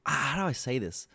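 A young Australian man with a wildly swinging, animated voice, rambling and giggling through a casual reaction stream. His sound is dry and close, picked up on a desk mic in a small room. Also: medium pitch, vocal fry, slightly rough, dry recording. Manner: giggly, rambling, lots of laughing and repeated words.

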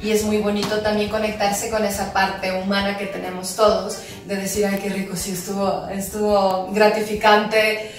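A woman's voice: mid-range voice, little pitch movement, normal speed. A woman in her thirties from Ecuador, an actress talking in an interview in an enthusiastic, playful way. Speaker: musical theatre actress and producer. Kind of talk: casual interview chat. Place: office room with glass wall, seated on chairs. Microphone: far camera mic. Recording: very echoey room from far off.

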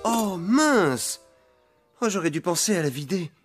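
Theatrical, swooping young stage magician. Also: male voice, high-pitched, very animated, measured pace, very noisy. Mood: boastful. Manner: theatrical, grandiose. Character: showy, bumbling amateur magician farmhand, cheerful young man. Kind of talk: children's cartoon dubbing. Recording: music behind the voice.

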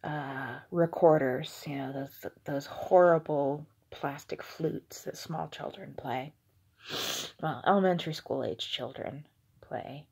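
Slow, breathy whispering young woman. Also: deep voice, a little mellow. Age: young adult. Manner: slow, hesitant, halting. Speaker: ASMR video creator. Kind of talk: whispered ASMR monologue. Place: small indoor room with curtains.

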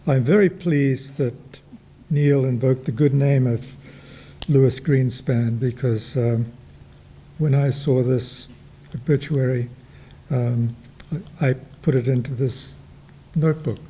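An older man with a New Zealand accent, moderating a gallery panel discussion in slow, reflective remarks with touches of dry humor. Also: mid-range voice, steady tone, warm tone, a little hiss or hum, rough recording. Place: gallery room with a panel table.

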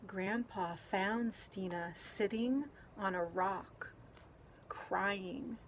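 A woman reading a children's picture book aloud outdoors in a gentle, deliberate way, pausing between phrases. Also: mid-range voice. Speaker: story reader. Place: outdoors, garden. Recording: clear outdoor background noise.

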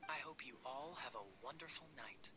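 A smug, playful young knight from a fantasy game played by a man. His mid-pitched delivery is suave and theatrical, teasing throughout, on a noisy capture with ambience behind it. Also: fairly quick, lightly acted. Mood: playfully courteous. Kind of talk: video game voice acting.

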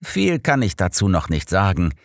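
Guy reading as an old detective in an audiobook, composed, brisk, analytical, voice raised a bit from his narration. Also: low-pitched, very animated, creaky, dry recording, studio quality, voice pushed up, exaggerated voice. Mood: reflective, analytical. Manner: analytical, matter-of-fact.

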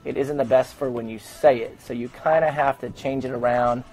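A man's voice: medium pitch, fairly even intonation, a little fast, a little mellow, slight background noise, rough recording. Middle-aged American man, conversational storyteller. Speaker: sports public address announcer being interviewed. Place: open indoor lobby studio at a desk.

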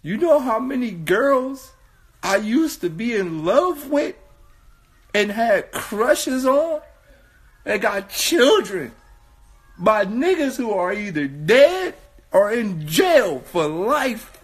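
A man in his thirties from with a hoarse voice, talking earnestly and repetitively into a phone held at arm's length in a small room. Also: sits high, big pitch swings, slow. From Watts, California. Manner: earnest, repetitive, building to raised voice. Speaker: vlogger. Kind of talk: casual selfie monologue.

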